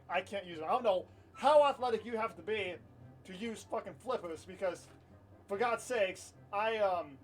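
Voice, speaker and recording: High-voiced man telling a casual story. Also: distant mic. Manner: informal, swearing, rambling with repeated phrases. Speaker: vlogger telling a personal story. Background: light music.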